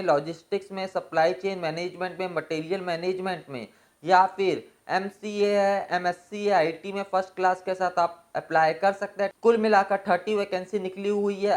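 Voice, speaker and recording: A woman hosting an informational explainer for viewers, going through details methodically point by point and mixing in many English terms. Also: mid-range voice. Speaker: host of an exam and job information channel.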